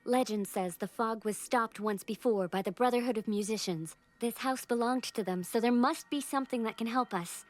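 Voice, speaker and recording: A woman's voice: a little high, moderate pace, few pauses, soft background music, lightly acted. An actress playing an anguished young woman fighting a curse in a fantasy game. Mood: ashamed, troubled. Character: anguished cursed sister fighting dark magic. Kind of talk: fantasy game cutscene acting.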